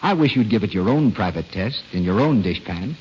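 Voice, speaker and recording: A polished American man announces in 1940s radio style. His pitch swings widely as he speaks with persuasive emphasis. The recording is dull and lo-fi, with faint noise behind him. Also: animated, brisk, a little mellow. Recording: dull, noisy old radio broadcast.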